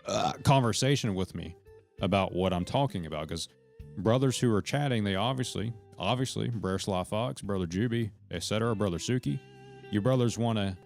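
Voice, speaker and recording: Deep-voiced man rambling on a live stream. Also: vocal fry, a little mellow. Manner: rambling, profane, jumps between points; talks to chat members directly.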